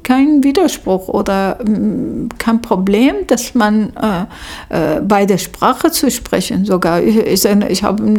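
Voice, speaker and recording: A middle-aged woman with an Arabic accent speaks very slowly, with a clearly creaky voice. She talks spontaneously, as if interviewed, and often searches for her words. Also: medium pitch, darkish tone. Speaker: social worker telling her experience.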